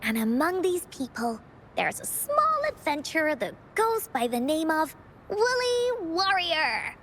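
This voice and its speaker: A woman's voice: sits high, sing-song, unhurried, a bit bright, slight background noise, exaggerated voice. A woman playing a cheerful little girl who tells adventure stories theatrically in a video game. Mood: storytelling. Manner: theatrical, cheerful, childlike storytelling. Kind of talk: video game storybook narration.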